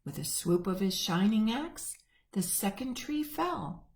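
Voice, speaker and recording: A woman reading a picture book aloud to children, gently and expressively. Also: mid-range voice, very animated, a little slow, gravelly. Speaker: church children's storyteller.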